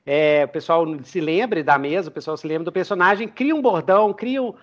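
A man's voice: high voice, normal speed. A man with a Brazilian Portuguese accent hosting a casual podcast chat, telling stories and repeating phrases as he goes. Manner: animated storyteller, repeats phrases, slips into character voices. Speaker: podcast host. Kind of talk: casual chat with RPG tips.

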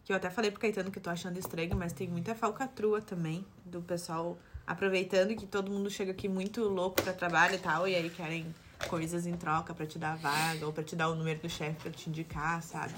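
A woman's voice: lowish voice, level tone, quick pace, few pauses, noisy background. A woman from Brazil with a southern Brazilian accent, chatting casually and often checking for agreement with little tag questions. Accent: southern Brazilian Portuguese. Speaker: international student and job seeker abroad. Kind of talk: casual chat.

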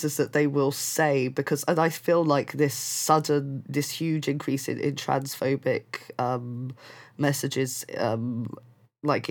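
Chatty man who laughs a lot. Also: mid-range voice. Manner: chatty, laughs often, many fillers. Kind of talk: casual chat.

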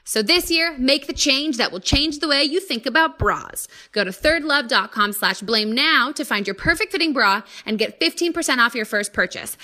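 Bright, animated woman podcast host. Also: a little high. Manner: playful, informal, conversational asides. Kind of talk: casual chatty podcast talk and host-read ad.

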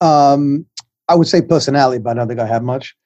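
A man's voice: mid-range voice, quick pace, a little mellow, no room sound, dull audio. A middle-aged man from England with an English accent, a food writer talking as a podcast guest in a rambling, self-deprecating way with many fillers. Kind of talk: remote podcast interview.

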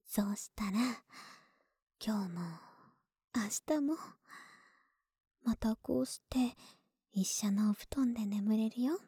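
A woman playing an affectionate, sleepy young neighbor in an intimate ASMR voice drama, speaking softly and tenderly, often in whispers, very close to the microphone. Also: fairly high pitch, no room sound, full character voice. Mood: coaxing, playful. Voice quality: soft, breathy, often whispered. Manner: slow, tender, coaxing, drawn-out drowsy words. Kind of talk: Japanese ASMR situation voice drama, intimate and soothing. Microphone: very close ASMR microphone, dry sound.

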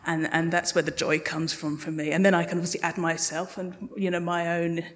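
Reflective, lower-pitched woman interview guest. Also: level tone, fairly quick. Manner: reflective, earnest. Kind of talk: thoughtful live panel interview. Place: live podcast festival stage.

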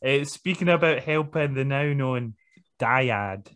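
A man's voice: medium pitch, animated, takes it slowly, a bit bright, clear, resonant tone. A man co-hosting a podcast, laid-back and dry, reading out trivia and chuckling between remarks. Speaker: podcast co-host. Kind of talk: casual chat.

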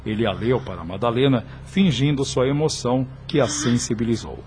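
A man's voice: mid-range voice, a little fast, a little mellow, slight background noise. A man with a Brazilian accent giving dramatic, scripted narration for a radio soap opera.

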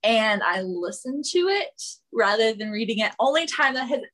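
Female podcast guest, bright forward voice on the high side, candid, casual conversation. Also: expressive, normal speed. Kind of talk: casual chat.

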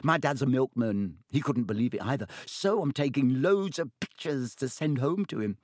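A man voicing an eager, excitable young schoolboy who gushes and stammers breathlessly. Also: fairly high pitch, moderate pace, a little hiss or hum, voice pushed up. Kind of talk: audiobook character voice.

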